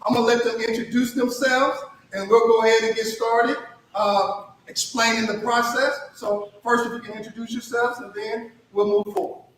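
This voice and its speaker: A high-pitched man with an upbeat, emphatic delivery hosts a panel podcast. He sits back from the microphone, so his voice carries a strong echo through the room. A faint hiss sits underneath. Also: fairly even intonation, moderate pace, fairly bright tone. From Mississippi. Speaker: podcast host. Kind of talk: hosting a panel interview. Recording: echoey room, mic at a distance.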